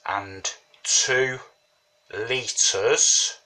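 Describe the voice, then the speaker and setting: A man's voice: medium pitch, extremely slow, pushed and bright. A man with a cold, sniffling a little, talking casually and rambling as he explains a home brewing recipe at his kitchen table. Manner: chatty, rambling, says "you know what I mean". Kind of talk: casual how-to tutorial.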